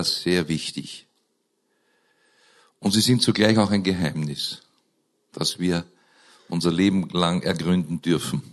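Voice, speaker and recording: A hoarse man with a scratchy voice, preaching a sermon in a slow, earnest way with emphatic rises, opening in prayer and reading scripture into a microphone. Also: sits low. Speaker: pastor.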